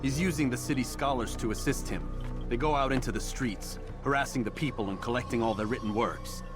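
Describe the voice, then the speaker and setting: A man playing a resolute, principled assassin in a video game, terse, grave and measured. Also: mid-range voice, over music, lightly acted. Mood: grim, determined.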